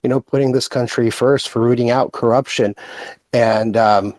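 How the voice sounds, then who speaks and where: American man, mid-pitched voice, earnest casual opinion talk, halting pauses, dry sound. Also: steady tone, a little mellow, no echo. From born in Russia, raised in the United States from age five. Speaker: guest speaker in online group audio chat.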